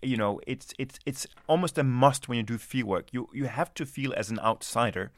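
A man from Denmark, speaking as an anthropologist, who answers questions slowly and thoughtfully, often restarting his sentences. His voice sits at an ordinary middle pitch. The recording is dry and close, with no room sound. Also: dry recording. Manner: thoughtful, hesitant, many restarts. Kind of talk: reflective interview answers.

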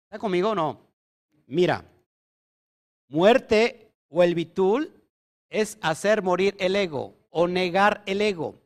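Preacher, male, high-pitched, emphatic teaching style, keeps checking in with his audience. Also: slow pace, pauses often. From Mexico. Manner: emphatic, asks the audience questions. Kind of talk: sermon teaching.

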